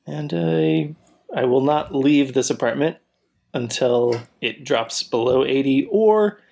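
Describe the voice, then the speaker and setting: A man's voice: mid-range voice, slow pace, darkish tone. A middle-aged man co-hosting a casual podcast chat, wry and sarcastic, laughing often. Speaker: podcast co-host. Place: Portland apartment.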